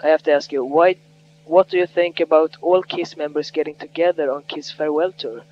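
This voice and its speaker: Male phone interviewer, fairly high-pitched, conversational questioning, dull and noisy line. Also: fairly even intonation, a little fast. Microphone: telephone. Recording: muffled, humming phone recording.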